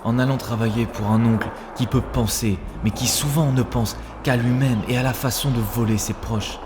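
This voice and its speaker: A man's voice: mid-range voice, fairly even intonation, moderate pace, background noise, lightly acted. A man voicing an earnest, rebellious young male student who speaks in a preachy, rhetorical way in a cartoon. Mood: impassioned, preachy. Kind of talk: scripted cartoon dialogue. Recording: long hall reverb, music bed.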